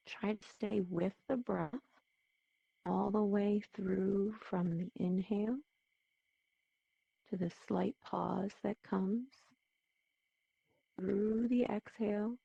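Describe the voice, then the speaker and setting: A woman guides a meditation very gently, with long, unhurried phrasing and an even, barely varying pitch. Her voice is soft-edged and dark, with a strong creak running through it. The recording is dry and slightly muffled. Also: no echo. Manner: soothing, gentle, unhurried with pauses. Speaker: meditation teacher.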